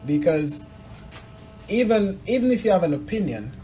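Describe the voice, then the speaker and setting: A man hosting a conversational discussion, probing and measured, building his points in short phrases. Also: high voice, very animated, warm tone, soft background music, poor audio. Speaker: interviewer, host.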